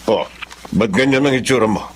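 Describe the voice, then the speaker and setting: An actor playing a stern, scornful older father with a gravelly voice and Filipino accent in a radio drama. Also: medium pitch, a little fast, slight background noise, natural voice. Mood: stern suspicion.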